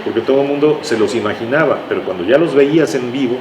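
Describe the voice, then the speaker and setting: A Mexican man talks as a guest in a relaxed-format interview, recounting anecdotes at length with little tag questions along the way. His voice sits at an average pitch. The room is echoey, with a noticeable noisy hum behind him. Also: fast. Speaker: interview guest, writer recalling his radio work. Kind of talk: conversational reminiscing.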